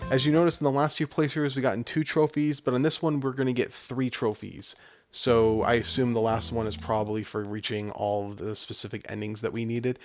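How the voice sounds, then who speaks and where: A man's voice: mid-range voice, little pitch movement, fairly quick. A man giving step-by-step walkthrough commentary as the host of a video game guide. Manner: explanatory, step-by-step instruction. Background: game music.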